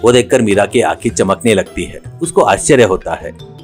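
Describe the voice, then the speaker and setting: A man's voice: lowish voice, animated, normal speed. Man narrating a story over music.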